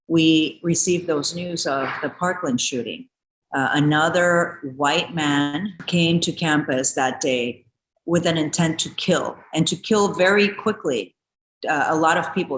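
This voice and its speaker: A woman's voice: low-pitched, a little hiss or hum. A woman born in Ecuador, a former congresswoman, speaking earnestly in a news interview with frequent restarts. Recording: echoey room.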